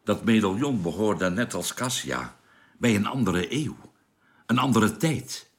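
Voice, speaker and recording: Space crewman, deep voice, animated intonation, patient explainer, radio drama. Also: a little slow. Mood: calm, certain. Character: knowing male space crewman explaining time travel.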